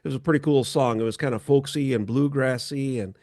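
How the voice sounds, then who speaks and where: A man hosting a casual church chat show, speaking off the cuff in a halting way with frequent pauses. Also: mid-range voice, a little mellow, no room sound.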